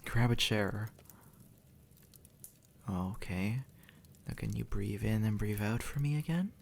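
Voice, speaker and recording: A sardonic male demon, acted by a man for an intimate audio roleplay. His voice sits low with a heavy creak, and he talks bluntly and conversationally, like someone long tired of explaining the afterlife to newcomers. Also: a little hiss or hum. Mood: calmer, reassuring. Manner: sarcastic, exasperated, blunt, conversational. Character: sardonic, impatient male demon, centuries dead, gruffly reassuring. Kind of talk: audio roleplay monologue addressed to the listener.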